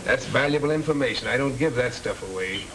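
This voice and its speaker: An actor playing a shifty, slangy small-time crook in 1930s film style, with an American accent. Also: male voice, medium pitch, expressive, quick pace, noisy background, close to an everyday voice. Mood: sly, wheedling. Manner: slangy tough-guy talk. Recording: old 1930s film soundtrack, background murmur.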